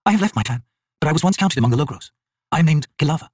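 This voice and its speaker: Man voicing a high-pitched, defiant tusked shaman woman. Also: expressive, rapid-fire, a little mellow, breathy edge, dry recording, clean studio sound. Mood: proud defiance. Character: defiant, bitter female tusked fantasy shaman or mother.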